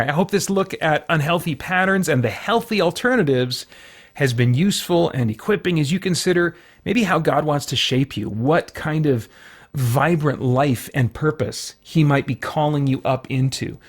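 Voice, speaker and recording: A man hosting an informal Bible study for an online audience, talking in a casual, halting way as he thinks aloud with frequent asides. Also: fairly high pitch, expressive. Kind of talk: informal sermon, conversational Bible teaching.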